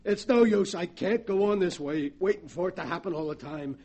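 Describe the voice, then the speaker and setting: An actor playing a tormented, hard-boiled gangster in a 1940s radio drama, with rough, slangy tough-guy talk. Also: high-pitched, brisk, a little mellow, muffled recording. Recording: vintage 1940s radio recording.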